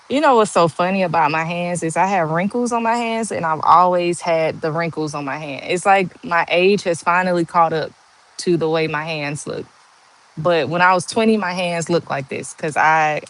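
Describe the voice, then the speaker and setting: A woman in her forties with a monotone voice, chatting slowly and candidly on a livestream and answering viewers' comments. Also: fairly low pitch, low-quality recording. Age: 40s. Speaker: livestream host. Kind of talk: casual live chat answering viewer comments.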